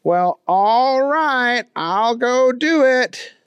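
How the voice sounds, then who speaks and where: Dopey slob, high and slow, bewildered drawl. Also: expressive, clear, resonant tone, no echo, dull audio. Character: dim-witted slobby grown man with bad teeth.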